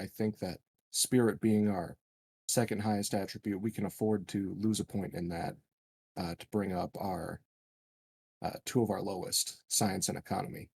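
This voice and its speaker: A man's voice: fairly low pitch, gravelly, warm tone, slightly rough. A man playing a tabletop roleplaying game, casually arguing his case as a player. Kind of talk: casual game strategy discussion.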